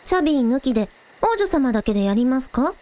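A woman as a high-voiced, eager village idol. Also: fast, a little hiss or hum. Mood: chatty. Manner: expressive, polite to elders, teasing with her friend. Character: excitable, friendly young village idol woman, eager fan. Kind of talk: Japanese audio drama dialogue.

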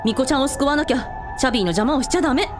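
A woman playing a brash, hot-blooded elder sister and shrine priest in a radio drama, bossy and rough-mouthed. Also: high-pitched, racing, music in the background, close to an everyday voice. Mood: firm.

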